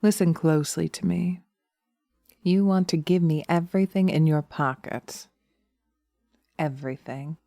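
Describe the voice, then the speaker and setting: A woman voicing a sly, seductive witch hypnotist in an ASMR roleplay, breathy and often whispering, coaxing and commanding on a close microphone. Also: lowish voice, warm tone, no room sound. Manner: slow, coaxing, taunting, commanding. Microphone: close binaural microphone.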